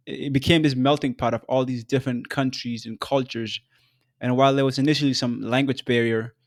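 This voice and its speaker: A man's voice: medium pitch, no room sound. Earnest young man, dry close sound. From South Sudan. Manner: measured, earnest delivery with occasional um and restarts. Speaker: podcast host. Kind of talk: scripted solo podcast narration.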